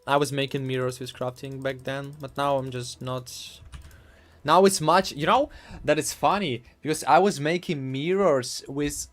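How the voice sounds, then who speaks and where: A man from Ukraine, casually commentating a live game stream, halting and repeating words as he talks. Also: medium pitch, fairly even intonation.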